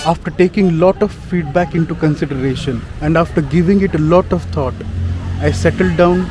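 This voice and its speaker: A man in his thirties with an Indian accent, speaking English as a second language, talking to a phone camera at arm's length in a measured, reflective way. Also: fairly high pitch, music in the background. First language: not English. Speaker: vlogger. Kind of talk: daily vlog, talking to camera. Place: outdoors in a leafy park and street. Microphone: phone camera held at arm's length. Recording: outdoor phone audio with clear background noise.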